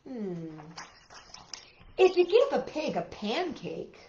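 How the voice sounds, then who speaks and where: Woman storytime host for young children, high lively voice, exclaims and chats to listeners between lines, roomy sound. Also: slow pace, a little hiss or hum. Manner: animated, exclaiming, addressing children directly. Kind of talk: read-aloud storytelling for young children with chatty asides. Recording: roomy, dull lo-fi sound, faint music.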